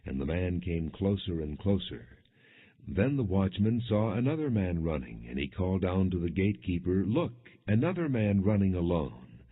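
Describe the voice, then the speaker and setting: A man reading scripture as a scripted audiobook narration in a measured, solemn way. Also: deep voice, big pitch swings, husky. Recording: dull, lo-fi narrow-band audio.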